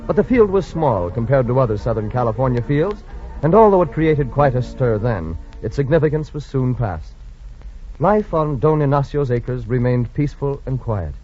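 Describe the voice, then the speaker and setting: A man's voice: mid-range voice, a little mellow. A man giving formal, storytelling narration for a 1940s radio historical drama. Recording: muffled vintage radio recording. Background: faint music.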